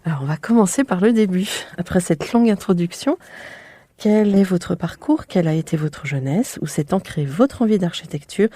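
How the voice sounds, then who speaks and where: Woman interviewer with a very dark, soft tone. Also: on the low side, lively intonation, moderate pace, dry recording. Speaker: podcast interviewer. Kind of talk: prepared interview introduction and questions.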